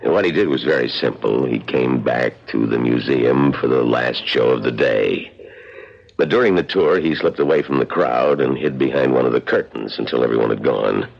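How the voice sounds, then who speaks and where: An actor playing a theatrical museum guide who recounts murders with relish in a radio drama, in a gravelly voice. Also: male voice, exaggerated voice. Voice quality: very deep, gravelly. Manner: theatrical storytelling. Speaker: narrator and actor. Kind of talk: old-time radio drama acting. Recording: dull vintage radio recording.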